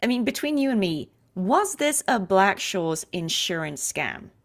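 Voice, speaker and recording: A woman hosting a chatty, playful interview, talking like an excited fan in an animated, gushing way. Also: mid-range voice, measured pace, over music. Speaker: interview show host and voice actor.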